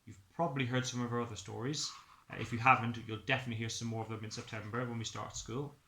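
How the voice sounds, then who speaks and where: A man's voice: mid-range voice, fairly even intonation, fast talker, sharp tone, echoey room. A man, an early years teacher, telling a picture-book story to young children expressively and explaining it simply.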